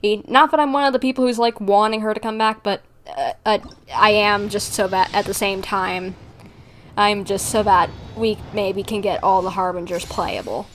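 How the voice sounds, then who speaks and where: A woman's voice: medium pitch, fairly even intonation, moderate pace, fairly bright tone, a little hiss or hum. A woman livestreaming a game, chatting casually with viewers in a rambling, giggly manner as she plays. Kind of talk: casual livestream chat while playing, replying to viewers.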